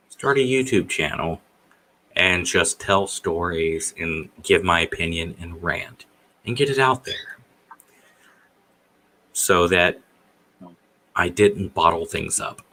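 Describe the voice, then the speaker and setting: Man, forties, deep voice, slow, bright, rambling stream host. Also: rough recording. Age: 40s. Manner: rambling, hesitant with many fillers. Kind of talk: casual live-stream chat.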